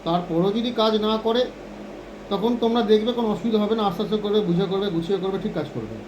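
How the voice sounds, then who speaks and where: Fast, high-pitched man over noise. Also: lively intonation, muffled recording. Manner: repeatedly checks in with short tag questions. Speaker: phone repair instructor. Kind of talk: informal hands-on repair tutorial.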